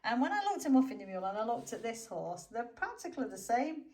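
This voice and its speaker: A woman's voice: on the high side, sing-song, quick pace, darkish tone, far from the mic, a little hiss or hum. A British woman chatting casually and teasingly to viewers as co-host of a home restoration video. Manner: chatty, informal, teasing, addresses viewers directly.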